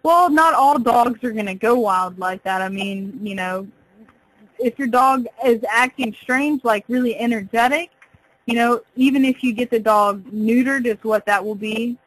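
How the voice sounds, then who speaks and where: A woman's voice: fairly high pitch, measured pace, fairly bright tone, no room sound. A woman working at a pet store, explaining things patiently and a little hesitantly on a customer service phone call. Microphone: telephone.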